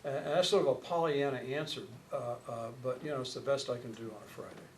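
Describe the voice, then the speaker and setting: A man in his sixties with an American accent, a lecturer thinking aloud in halting phrases as he answers seminar questions. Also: medium pitch. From Chicago, United States. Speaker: lecturer, education researcher. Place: seminar room, at a wooden podium. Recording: noticeable background room noise.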